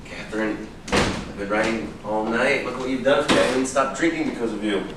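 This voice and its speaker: An actor in a staged reading playing an intense, needy, proud writer. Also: male voice, medium pitch, a little fast, close to an everyday voice. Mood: earnest, proud. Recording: echoey room from far off, noisy.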